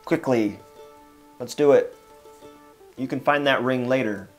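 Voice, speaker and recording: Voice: mid-range voice, a little slow, faint music behind, lightly acted. A storyteller voicing a shrewd, conspiratorial old woman in a fairytale. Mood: urgent, scheming. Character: conspiratorial old hag, shrewd helper in a cannibal's house.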